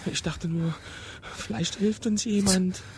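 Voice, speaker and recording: A man's voice: sits high, fairly even intonation, takes it slowly, a little mellow, slight background noise. A young man playing a frightened, hesitant teenage boy in a radio drama. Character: scared, hesitant teenage boy hiding from a gang.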